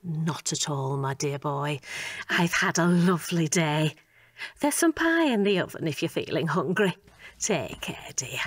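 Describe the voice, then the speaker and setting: A woman's voice: deep voice, lively intonation, a little slow, no room sound. An actress playing a kindly, chatty older woman, a motherly family friend, in a radio drama. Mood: chatty, affectionate.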